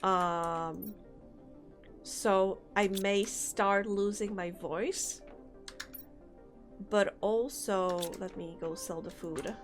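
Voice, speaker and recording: A woman's voice: medium pitch, fairly even intonation, very slow, pauses often. A woman live-streaming a game, rambling casually and chatting with viewers, her throat a little sore. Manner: rambling, thinks aloud, chats with viewers. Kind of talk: casual live-stream chat while playing. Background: faint game music.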